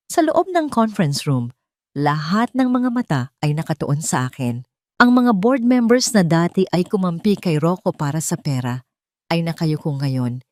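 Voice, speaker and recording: A woman narrating a dramatic first-person story in a solemn, deliberate storytelling manner. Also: fairly low pitch, a little mellow, no room sound, clean studio sound.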